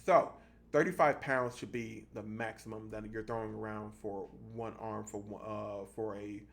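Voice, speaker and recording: Gravelly man in his thirties, explaining casually. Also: on the low side, steady tone, moderate pace. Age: 30s. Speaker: fitness vlogger. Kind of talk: casual explanatory monologue to camera.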